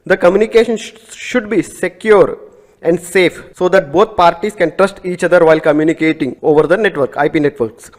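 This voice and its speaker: Methodical Telugu-speaking male tech instructor. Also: fairly high pitch. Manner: methodical, repeats and rephrases terms, small slips. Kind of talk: recorded networking lecture.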